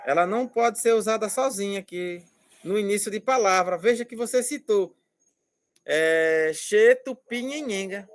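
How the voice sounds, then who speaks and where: A male language teacher explaining slowly and patiently over a video call, repeating words and checking that students follow. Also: sits high. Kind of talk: online class explanation.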